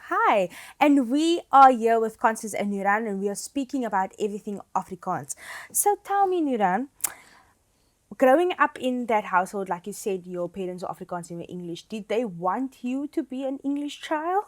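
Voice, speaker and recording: A woman's voice: on the high side, lively intonation, normal speed. Bubbly young South African woman host. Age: young adult. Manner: chatty, bubbly, laughs often. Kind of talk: student TV talk show hosting.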